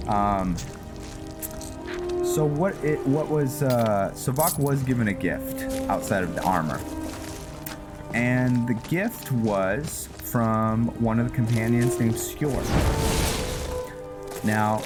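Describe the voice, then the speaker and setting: A man giving casual, improvised gameplay commentary and roleplay story recap in an informal, halting way with trailing thoughts. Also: on the low side. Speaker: gameplay host narrating a roleplay playthrough. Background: loud music.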